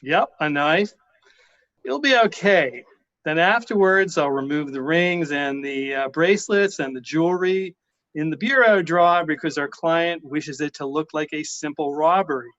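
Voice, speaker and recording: A man's voice: fairly high pitch, moderate pace, a bit bright, no echo, natural voice. A man playing a casual, businesslike hired killer in a radio play, offhand and reassuring, on a dull recording. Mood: calm, businesslike. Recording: dull, lo-fi sound.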